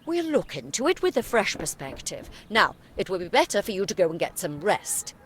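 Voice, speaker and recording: Woman doing a strict orphanage headmistress, measured and skeptical, mid pitch but lots of melody, animated game acting. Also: a little hiss or hum, close to an everyday voice. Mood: composed, dismissive.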